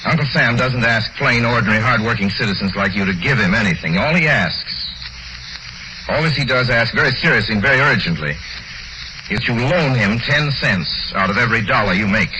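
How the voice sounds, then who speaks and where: A man's voice: mid-range voice, lively intonation, brisk. An American man announcing on 1940s radio, reading a scripted appeal in an emphatic, formal, persuasive way. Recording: muffled, noisy 1940s radio broadcast.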